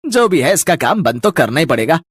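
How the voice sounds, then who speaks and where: Man voicing a bullying, high-pitched cartoon shop owner. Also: sing-song, fairly quick, husky, no room sound, clean studio sound. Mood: annoyed, scheming. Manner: threatening, gloating. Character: greedy, bullying male rival noodle shop owner. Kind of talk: Hindi cartoon story dubbing.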